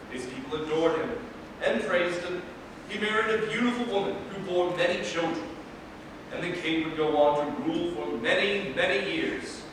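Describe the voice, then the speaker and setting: A man playing a solemn fairy-tale narrator in a staged reading, with dramatic, measured delivery. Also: on the high side, close to an everyday voice. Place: large reverberant room at a live staged reading. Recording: distant echoey hall, faint noise.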